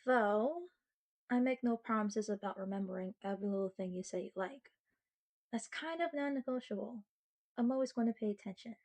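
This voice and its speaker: A woman's voice: medium pitch, a little mellow, close to an everyday voice. A woman acting a sweet, sheepish girlfriend in an ASMR roleplay, chatting earnestly and bashfully to the listener with playful self-mockery. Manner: chatty, halting, bashful with dramatic mock seriousness.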